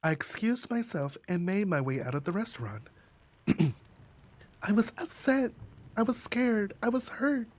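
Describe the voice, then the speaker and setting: A streamer reading a visual novel aloud, with expressive narration and sassy, slangy asides. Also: medium pitch, unhurried.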